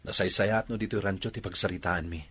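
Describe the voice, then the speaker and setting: A man's voice: sits low, steady tone, fast talker, fairly bright tone, slightly rough. A man acting an earnest young son with an Ilocano Filipino accent in a radio drama. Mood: requesting. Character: earnest playboy son pleading with his father.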